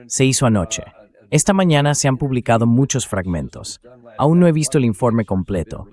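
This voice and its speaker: A man's voice: medium pitch, darkish tone. A man hosting a political commentary show in a dubbed translation read by a synthetic voice, often posing incredulous rhetorical questions.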